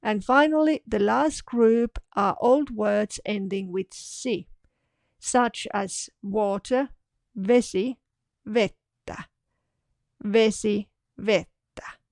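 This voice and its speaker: A woman narrates a scripted language lesson, saying each example word twice, slowly and deliberately. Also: mid-range voice, dry recording, clean studio sound. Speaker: language teacher.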